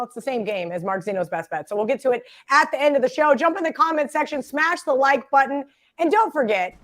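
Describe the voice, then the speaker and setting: A woman with a raspy voice hosting a sports betting podcast, joking and chatty as she reads the sponsor spot. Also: fairly high pitch, fairly bright tone. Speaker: podcast host.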